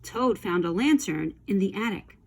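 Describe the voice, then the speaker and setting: A woman reading a children's story aloud with expressive narration. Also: medium pitch, moderate pace, gravelly. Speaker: storybook reader.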